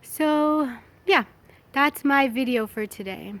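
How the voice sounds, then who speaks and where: A woman's voice: sits high, animated, slow pace, dry recording. A woman in her thirties talking candidly and casually, rambling a little as she thinks aloud in a personal, reflective vlog. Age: 30s. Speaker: vlogger. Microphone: phone.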